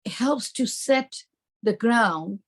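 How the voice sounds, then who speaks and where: Lady doing a teacher training talk, kinda husky voice, explains stuff step by step. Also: on the high side, lively intonation, very slow. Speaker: early childhood teacher trainer. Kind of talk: explanatory lesson presentation.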